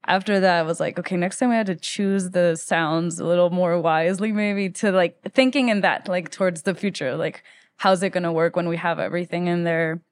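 A woman from Ecuador, around thirty, telling stories casually as a musician being interviewed, with "like" sprinkled through her speech. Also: on the low side. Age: late 20s to 30s. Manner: informal, peppers speech with "like". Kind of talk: casual conversational storytelling.

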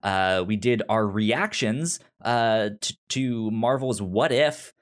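Male podcast host, casual reviewer. Slow, halting delivery with frequent stammered repeats. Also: on the low side, expressive.

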